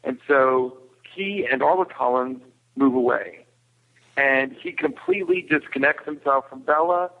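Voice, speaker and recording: Man on a tinny phone line, creaky voice. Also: mid-range voice, unhurried. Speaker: podcast guest. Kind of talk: casual film recap discussion.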